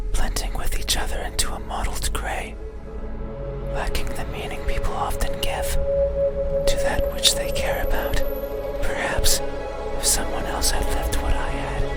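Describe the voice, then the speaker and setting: A man voicing an ageless, mysterious being in a horror visual novel, speaking a solemn, halting monologue in a breathy, voiceless whisper. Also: close to an everyday voice. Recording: loud eerie music over whisper. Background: loud scary music.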